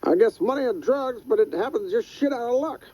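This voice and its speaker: A man's voice: extremely high pitch, expressive, a little fast, warm tone, no echo, lo-fi sound, voice pushed up. A man voicing a sardonic older man who sounds more curious than angry.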